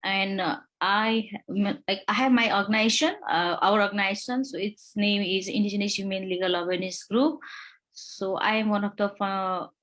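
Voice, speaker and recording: A woman's voice: mid-range voice, fairly even intonation, fairly bright tone. Young woman from Nepal, human rights lawyer, hesitant interview answers full of fillers. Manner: halting, many fillers and restarts.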